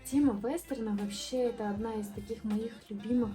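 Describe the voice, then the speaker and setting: A woman's voice: on the high side, fairly even intonation, brisk, warm tone, faint music behind. A young woman from southern Moldova, chatting casually and rambling with many fillers and restarts while she paints for her vlog. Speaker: art vlogger.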